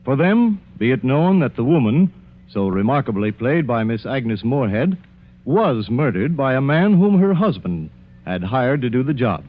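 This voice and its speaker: Tinny, animated male 1940s radio announcer. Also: medium pitch, normal speed. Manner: formal. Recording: tinny narrow-band 1940s radio recording.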